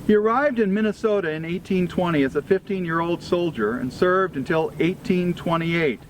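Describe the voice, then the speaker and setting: A man reads a formal scripted historical tribute at an outdoor commemoration, delivering it phrase by phrase in a measured way. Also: high voice, low-quality recording. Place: outdoors at a cemetery gathering. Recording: outdoor recording with clear ambient vehicle noise.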